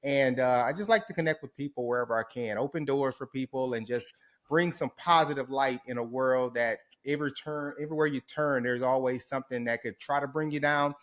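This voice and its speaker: A man's voice: medium pitch, fairly quick, a bit bright. A man from Houston, Texas, a guest on a podcast, talking about his life in an upbeat, reflective way. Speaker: interview guest. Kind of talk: casual interview chat.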